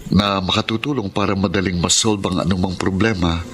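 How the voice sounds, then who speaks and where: An actor playing a burdened, withdrawn young boyfriend in a radio drama, weary and insistent. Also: male voice, deep voice, steady tone, a little fast, faint music behind. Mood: weary, guarded.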